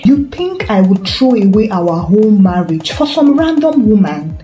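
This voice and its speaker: A woman's voice: mid-range voice, very animated, normal speed, warm tone, lightly acted. A woman storyteller voicing an earnest, wounded, proud male pastor who speaks with insistence. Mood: pleading, insistent. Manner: intense, insistent. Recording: roomy echo with faint music.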